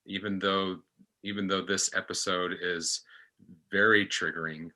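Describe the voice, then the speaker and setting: Very bright, deep-voiced man, halting delivery. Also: steady tone. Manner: halting, gentle wording, reassuring. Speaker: actor as podcast panelist. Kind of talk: reflective podcast discussion.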